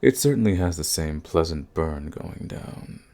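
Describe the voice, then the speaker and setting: A man playing a suave, sadistic vampire lord in an ASMR roleplay, courtly and menacing, with a gravelly, husky voice. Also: a bass voice, lively intonation, warm tone, exaggerated voice. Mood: mocking, menacing. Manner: courtly, purring, slow and menacing. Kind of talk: ASMR roleplay, scripted monologue to the listener.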